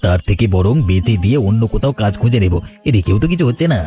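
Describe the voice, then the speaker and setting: Fast-talking mellow younger man played by man. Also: fairly low pitch, animated, faint music behind, close to an everyday voice. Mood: resigned. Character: younger male helper at a failing eatery. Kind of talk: cartoon voice acting.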